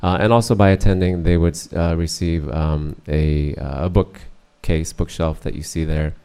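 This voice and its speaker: A middle-aged man with a monotone delivery giving a formal presentation to a school board, with frequent hesitations. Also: sits low, normal speed, clean tone. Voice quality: monotone, many uh fillers.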